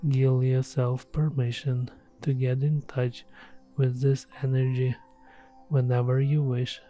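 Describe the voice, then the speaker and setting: A man leading a scripted guided meditation in a soothing, gentle, measured way. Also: medium pitch, little pitch movement, poor audio. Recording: soft music behind the voice. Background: background music.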